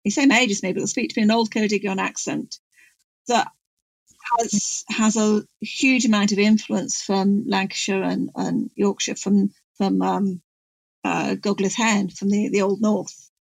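Creaky-voiced woman, close and dry. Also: mid-range voice, fairly even intonation, moderate pace, no echo, poor audio. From North Wales. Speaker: guest expert on Welsh language and poetry. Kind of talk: informal explanatory conversation.

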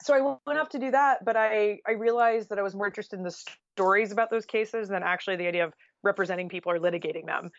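A woman's voice: mid-range voice, dry recording, muffled recording. An American woman telling stories casually as an interview guest, chatty and sprinkled with "like". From Oregon, United States.

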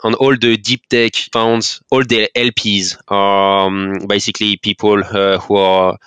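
Flat, clear-voiced man with French accent. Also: lowish voice, unhurried, dry recording. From France. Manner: hesitant, many fillers and restarts. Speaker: podcast guest. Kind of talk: casual interview talk.

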